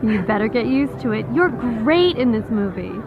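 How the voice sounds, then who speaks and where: An actress playing a gushing red carpet reporter in an audio drama. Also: female voice, on the high side, expressive, normal speed, close to an everyday voice. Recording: dull sound with busy background.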